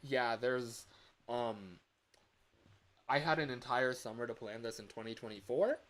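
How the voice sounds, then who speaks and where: A man talking casually on a livestream as a game developer, thinking aloud in a hesitant, halting way. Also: mid-range voice, fairly bright tone.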